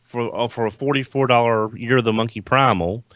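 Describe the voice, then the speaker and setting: A man on a podcast panel chatting casually, halting and repeating words as he starts. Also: medium pitch, steady tone, a bit bright, no room sound. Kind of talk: casual chat.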